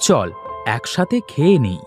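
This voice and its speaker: Casting: gentle, caring family man voiced by a male actor, animated intonation, cartoon tale with faint music behind. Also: a little high, moderate pace. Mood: affectionate. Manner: affectionate, concerned. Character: kindly, caring father and shopkeeper husband. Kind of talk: Bengali cartoon voice acting.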